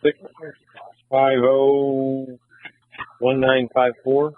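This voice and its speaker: A man's voice: medium pitch, completely flat delivery, extremely slow, dry recording. A man working as a bike patrol officer, making a request over a muffled, narrow-band police radio.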